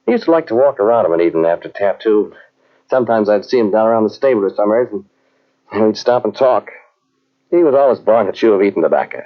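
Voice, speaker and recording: Actor as folksy American cavalry sergeant, very animated. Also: male voice, lowish voice, lightly acted. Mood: nostalgic, reminiscing. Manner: folksy, halting, deferential. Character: deferential, earnest old-time American cavalry sergeant. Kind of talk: old-time radio drama acting and narration. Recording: muffled old radio drama from cassette tape.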